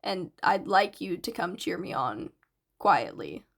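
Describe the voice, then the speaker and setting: A woman voicing a shy, soft-spoken teenage schoolboy with a hesitant, subdued manner in an audiobook. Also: on the low side, very animated, slow, creaky, voice pushed down, full character voice. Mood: quietly earnest. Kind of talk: audiobook character dialogue.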